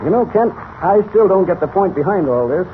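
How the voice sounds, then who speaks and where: Anxious police commissioner, earnest official delivery, slightly high voice, 1940s radio drama, narrow lo-fi sound. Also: male voice, lively intonation, brisk. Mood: concerned. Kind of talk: 1940s radio serial acting. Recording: scratchy, tinny old radio recording.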